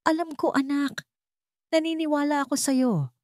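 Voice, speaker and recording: A woman voicing a worried, trusting middle-aged mother who probes gently and anxiously, in a narrated love story. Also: high-pitched, normal speed, dry recording, clean studio sound, pitched above the performer's own voice, close to an everyday voice. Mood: worried, caring.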